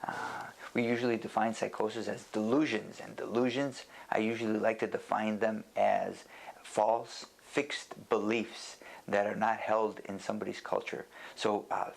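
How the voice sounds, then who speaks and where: A male doctor with a fairly low voice and a clearly gravelly, fry-laden edge. He explains in plain words as in an informal lecture. His delivery is deliberate and halting, built point by point. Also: level tone. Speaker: doctor giving educational explanation.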